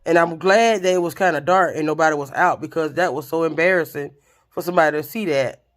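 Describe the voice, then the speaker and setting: Raspy-voiced chatty woman. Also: sits low, quick pace. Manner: chatty, expressive, addresses viewers directly. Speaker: vlogger. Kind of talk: casual storytelling to camera.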